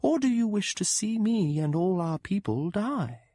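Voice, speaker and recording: A man voicing a possessive dead woman's spirit, speaking in a slow, soothing but ruthless way in an audiobook reading. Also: high voice, very animated, warm tone, dry recording, studio quality, pitched above the performer's own voice, heavily acted. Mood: cold insistent.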